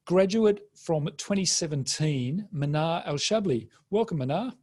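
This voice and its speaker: Aussie guy interviewing someone, slightly higher voice, conversational. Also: expressive, a little slow, darkish tone.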